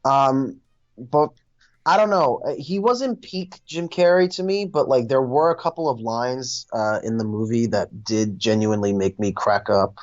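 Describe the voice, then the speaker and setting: A man hosting a casual film discussion, speaking in a hesitant, halting way. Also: mid-range voice, no echo, lo-fi sound.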